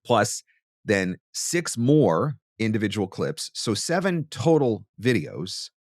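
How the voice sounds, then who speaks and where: A man hosting an instructional monologue, talking with emphatic, deliberate stress on key words. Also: on the low side, very animated, no echo, studio quality. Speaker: host, voice actor teaching marketing.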